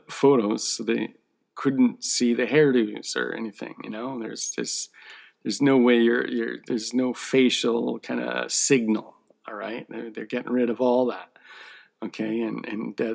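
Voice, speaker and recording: A man's voice: medium pitch, little pitch movement, a little slow, creaky, darkish tone. A man who draws comic books, talking in a casual, rambling monologue that often checks in with "okay?". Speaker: comic book artist.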